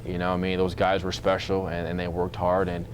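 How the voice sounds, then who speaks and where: A man in his thirties, a basketball coach, speaking in a measured, earnest way in an informal interview. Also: deep voice, completely flat delivery, a little hiss or hum, poor audio. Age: 30s.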